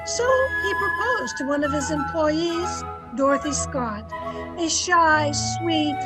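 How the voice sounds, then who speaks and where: A woman's voice: high-pitched, slow pace, over loud music, low-quality recording. A woman announcing and narrating an old-time radio drama from a script.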